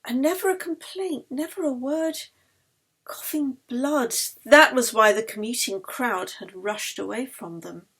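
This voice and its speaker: A woman reads literary fiction aloud as an audiobook. Her voice is fairly high with noticeable creak, and her delivery is expressive and dramatic. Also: unhurried. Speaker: author reading her own novel.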